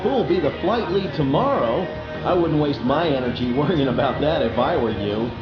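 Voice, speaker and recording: A man's voice: fairly high pitch, lively intonation, moderate pace, close to an everyday voice. A man playing a cocky, sarcastic, slangy fighter pilot in a video game. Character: cocky, wisecracking male fighter pilot, irreverent. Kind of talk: game cutscene voice acting. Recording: dull sound under clear music.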